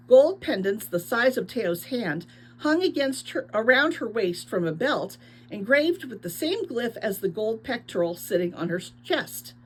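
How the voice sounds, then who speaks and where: A woman's voice: a little high, breathy edge, low-quality recording. A woman reading a book aloud expressively as a teacher, adding short explanatory asides.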